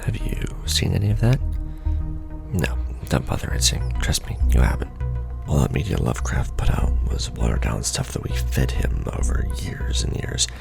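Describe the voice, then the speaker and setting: A man playing a weary, wry official of a shadowy secret society, with an extremely low, heavily creaking murmur over clearly audible music. He rambles through an ASMR roleplay briefing, deadpan and sardonic. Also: normal speed, full character voice. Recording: background music under the voice. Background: background music.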